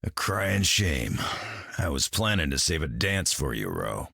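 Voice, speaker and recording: An actor playing a gruff, weary old mutant brawler in a video game, with a gravelly growl and grumbling, folksy slang. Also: male voice, rumbling low, big pitch swings, a little slow, sharp tone, no room sound, studio quality, full character voice. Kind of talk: video game character lines.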